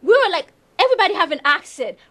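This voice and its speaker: A woman's voice: extremely high pitch, big pitch swings, quick pace, fairly bright tone. A middle-aged woman with a Haitian accent, a councilmember being interviewed, talking with enthusiasm and often repeating words as she searches for them. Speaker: councilmember guest. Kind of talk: interview conversation.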